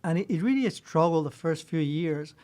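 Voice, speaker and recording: A man from Costa Rica in his fifties, answering in an earnest, unpolished way that circles back on itself. His voice sits a little high for a man. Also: lively intonation, moderate pace, a little mellow. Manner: earnest, loose with restarts and "right?". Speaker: supply chain executive. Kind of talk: interview answers.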